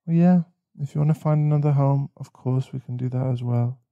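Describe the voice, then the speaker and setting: A man playing a caring, protective boyfriend in an intimate ASMR roleplay, speaking softly and soothingly with halting pauses. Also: mid-range voice, fairly even intonation, dry recording, narrow, tinny audio, lightly acted. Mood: reassuring, loving. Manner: soft, soothing, halting, sighing. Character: caring, protective, shaken boyfriend comforting a partner. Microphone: close mic.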